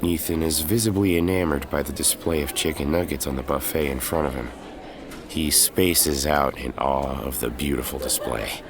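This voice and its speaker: A man's voice: a bass voice, normal speed, a crowd in the background. A man giving scripted narration for an audio drama, telling the story in the present tense. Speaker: narrator and lead character. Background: music.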